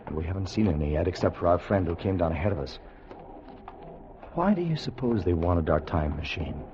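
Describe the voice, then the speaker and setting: A man acting a weary, fearful time traveler in 1950s radio drama, narrating his ordeal in a grave, measured, voice. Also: low voice, lively intonation, slight background noise. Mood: weary, uneasy. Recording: muffled old radio broadcast with music.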